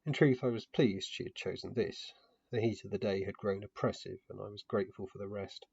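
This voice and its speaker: A man's voice: fairly low pitch, fairly quick, creaky, a little mellow, husky, dry recording. A man narrating a story dramatically in the first person, in a muffled home recording. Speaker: narrator of a fantasy fiction podcast.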